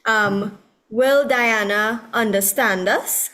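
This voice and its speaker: Slow-speaking Trinidadian woman moderating. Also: fairly high pitch, pushed and bright. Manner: hesitant, many fillers. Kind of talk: moderating an online forum. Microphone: video call.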